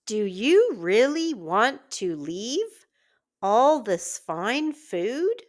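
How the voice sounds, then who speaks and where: A friendly little city mouse from a children's storybook, acted out by a woman. He is excitable, with lively swings of pitch. Every word is stretched out very slowly for young listeners. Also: exaggerated voice. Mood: coaxing. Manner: slow, exaggerated read-aloud delivery for children.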